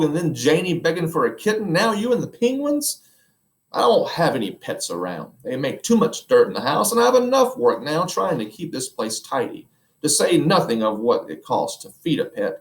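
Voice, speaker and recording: Fussy, sharp-tongued housewife, high and lively, as a man reads her out in an echoey room. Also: moderate pace. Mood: scolding. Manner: sharp, scolding, easily shocked. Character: fussy thrifty middle-aged housewife. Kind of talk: reading aloud a children's book.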